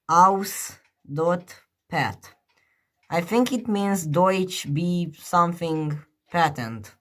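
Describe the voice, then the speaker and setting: Slow-paced hobbyist musing over a clock. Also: medium pitch. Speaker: clock collector presenting a clock. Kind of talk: casual hands-on demonstration. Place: indoors beside a ticking clock.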